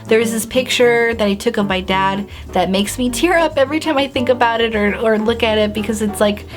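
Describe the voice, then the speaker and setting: A young woman vlogger telling a story to the camera in a bubbly, animated way, filler-heavy and acting out her own reactions. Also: a little high, fairly quick, music in the background. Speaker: beauty and lifestyle vlogger. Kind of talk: casual storytime vlog.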